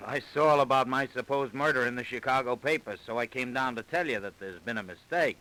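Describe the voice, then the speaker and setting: Stubborn, evasive American suspect, male actor, forceful edge, glib and defensive, vintage radio crime drama recording. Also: medium pitch, brisk, close to an everyday voice, rough recording. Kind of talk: 1930s radio acting.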